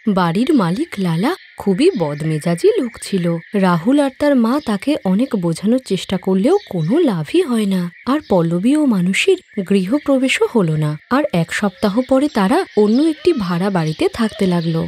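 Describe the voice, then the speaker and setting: A woman's voice: medium pitch, big pitch swings, moderate pace, darkish tone, a little hiss or hum. A woman narrating a scripted moral story as a storyteller.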